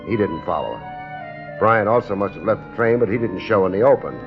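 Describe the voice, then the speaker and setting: An actor playing a cool, wry private investigator who leads and narrates a 1950s radio detective drama in a laconic, casual way. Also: male voice, fairly low pitch, expressive, fairly quick. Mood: dry narration. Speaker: lead and narrator. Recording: muffled 1950s radio recording, music.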